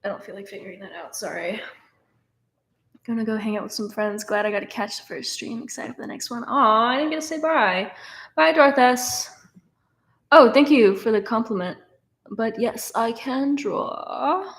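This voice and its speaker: A woman's voice: fairly high pitch, normal speed. Nineteen-year-old woman chatting in echoey room. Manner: casual, chatty, many fillers and restarts. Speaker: streamer answering chat questions. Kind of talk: casual live stream Q&A. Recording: roomy echo.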